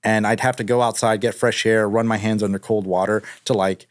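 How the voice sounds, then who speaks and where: A man's voice: fairly low pitch, steady tone, a little fast. A man from Miami, Florida, speaking as an interview guest, telling casual anecdotes in informal, slangy language. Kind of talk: casual conversational storytelling.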